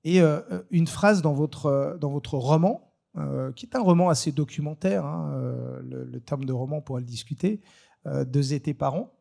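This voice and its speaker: A man hosting an informal live panel, speaking in a hesitant, halting way. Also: a little high, creaky, mellow. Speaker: panel moderator.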